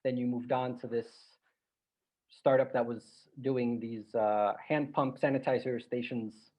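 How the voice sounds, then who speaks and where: A man from Croatia, hosting a casual interview podcast in a relaxed, chatty way. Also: mid-range voice, measured pace. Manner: relaxed, chatty, with restarts and filler. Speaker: podcast host. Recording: lo-fi podcast audio.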